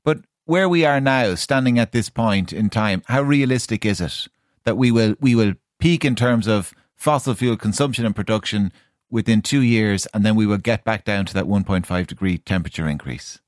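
A man hosts a radio interview in a dry, close-miked studio. He asks probing questions and keeps pressing for a clear answer. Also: on the low side, normal speed, dry recording. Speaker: radio host.

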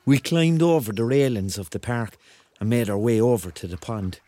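An actor playing a rambling, excitable Cork man in his thirties, telling his story in a colloquial radio drama style. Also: mid-range voice, moderate pace, no echo, studio quality, close to an everyday voice. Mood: wry storytelling. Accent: Cork Irish. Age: 30s. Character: rambling, excitable thirty-year-old Corkman on a surreal night out. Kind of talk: radio drama acting, first-person narration and dialogue.

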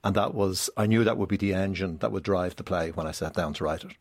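A man's voice: deep voice, fast talker, no room sound. A man, a writer speaking fluently and matter-of-factly as a radio interview guest. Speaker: playwright, studio guest. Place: radio studio.

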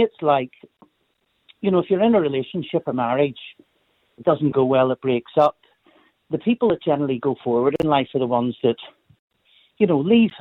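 A man calls in to a radio show and talks in a measured, reflective way, repeating his phrases. His voice comes through a tinny phone line, dry and close. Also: mid-range voice, no room sound. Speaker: caller. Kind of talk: phone-in opinion.

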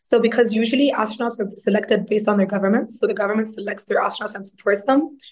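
A woman's voice: medium pitch, fairly even intonation, fast, darkish tone. A woman, a guest answering interview questions in an earnest, explanatory way. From Egypt. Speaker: guest astronaut.

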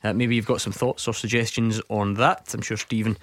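A man's voice: fairly low pitch, level tone, fairly quick, no echo. Wry male radio sports host. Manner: wry, teasing, reads out phone numbers. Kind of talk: radio sports phone-in hosting. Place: radio studio.

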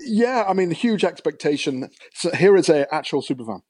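A man's voice: fairly high pitch, big pitch swings, moderate pace, a little mellow, husky, dry recording. Irish man, radio reporter, breezy, joking, dry sound. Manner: breezy and humorous. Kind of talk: live report.

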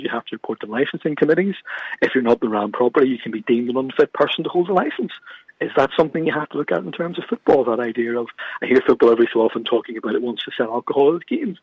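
A fast-talking, husky-voiced man making his case with real passion, stumbling into repeats as he pushes each point. He sounds like he is on a tinny phone line with a dry, close sound. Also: medium pitch, no room sound. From from across the water from Scotland. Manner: passionate, emphatic, repeats words when pressing a point. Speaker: guest from an organisation. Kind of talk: radio talk show discussion.

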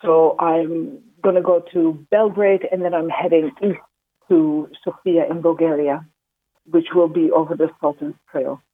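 A lower-voiced woman from the Netherlands speaks over a tinny, narrowband phone line. She talks haltingly, with many ums and restarts. The sound is dry and close, with no room around it. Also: fairly even intonation, no echo. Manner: hesitant, many fillers and restarts. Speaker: interview guest, walking pilgrim. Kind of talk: phone interview.

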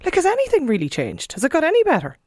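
A woman's voice: high-pitched, wildly swooping pitch, racing, a little mellow, no room sound, clean studio sound. A woman conducting a conversational radio interview, phrasing her questions tentatively and sympathetically.

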